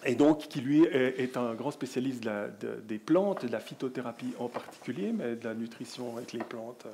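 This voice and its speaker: Swiss French panel moderator, jovial. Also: male voice, medium pitch, normal speed, vocal fry, darkish tone, a little hiss or hum. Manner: jovial, hesitant with many fillers. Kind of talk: introducing panelists. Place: conference hall.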